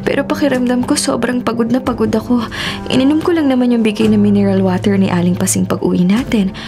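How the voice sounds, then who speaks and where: A woman's voice: on the high side, steady tone, fast, music in the background, natural voice. An actress playing a weary, frightened young Filipino woman in a radio drama, with an exhausted, anxious delivery. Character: weary, frightened young woman travel vlogger under a curse.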